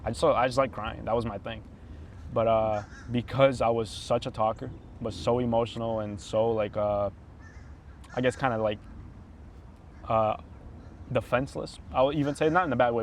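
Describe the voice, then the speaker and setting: A talkative young man from Brazil, chatting as a podcast guest in a mid-pitched voice. His talk rambles and is full of filler words. A faint noise sits underneath. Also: fairly even intonation, fairly quick. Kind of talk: casual chat.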